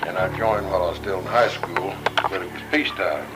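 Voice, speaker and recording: A man's voice: low-pitched, fairly quick, over loud music, rough recording. An elderly man, a war veteran, reminiscing in a plain, matter-of-fact way for an oral history. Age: 80s or older.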